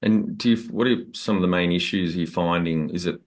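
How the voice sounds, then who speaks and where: A man's voice: low-pitched, a little fast. A man with an Australian accent hosting a business podcast, upbeat and direct as he asks questions. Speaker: podcast host. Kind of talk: interview host, scripted intro.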